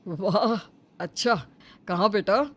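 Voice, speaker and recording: A man's voice: sits high, slow pace, fairly bright tone, heavily acted. Man acting a blunt, grumbling middle-aged father. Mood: dismissive. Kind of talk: Hindi animated moral story acting.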